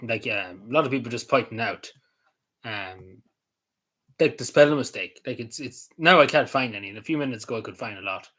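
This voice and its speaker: A man's voice: medium pitch, lively intonation, a little fast, a bit bright. A man rambling casually on camera, hesitant, with many fillers, restarts and small chuckles. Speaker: vlogger.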